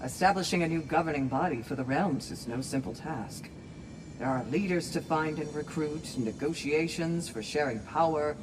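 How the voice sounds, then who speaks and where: A man's voice: fairly high pitch, moderate pace, noisy background, close to an everyday voice. An actor playing a composed, courteous male attendant in a video game, explaining things formally and articulately. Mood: polite, matter-of-fact. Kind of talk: dramatic video game acting.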